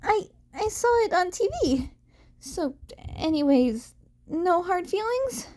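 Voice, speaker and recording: A woman voicing a guarded, snarky and awkward teenage boy vigilante in an audio story, with a stammering, hurried delivery. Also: very high-pitched, very animated, a little mellow, voice pushed up, full character voice. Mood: nervous, placating.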